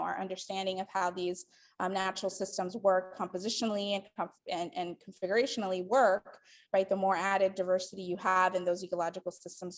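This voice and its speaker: A woman in her forties, from the Dominican Republic, giving a lecture to a live audience in a hall, thinking aloud with many ums and restarts. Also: fairly low pitch. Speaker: guest lecturer.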